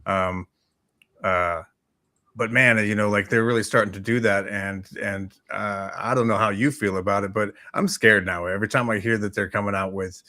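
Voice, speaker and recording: A man's voice: fairly low pitch, fairly even intonation, moderate pace, sharp tone. A man chatting casually as a talk show host, rambling and hesitant, often repeating his words. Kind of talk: casual chat.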